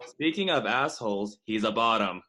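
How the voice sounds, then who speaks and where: A man's voice: lowish voice, animated, normal speed, pushed and bright, a little hiss or hum. A man playing a catty, smirking, crude young man who taunts people, in a comedy table read. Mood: snide, taunting. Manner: taunting, snide.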